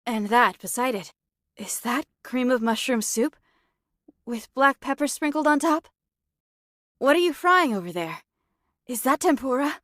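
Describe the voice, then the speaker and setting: A woman's voice: sits high, lively intonation, unhurried, pauses often, fairly bright tone, no room sound, clean studio sound. A woman playing a stoic, dutiful android sniper in a game, speaking in a terse, matter-of-fact way. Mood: curious. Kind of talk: anime-style game voice acting.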